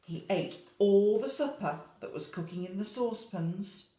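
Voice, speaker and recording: A woman teacher reading a picture book to young children in an expressive way, adding chatty asides and questions. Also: medium pitch, unhurried, roomy sound. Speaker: infant school teacher.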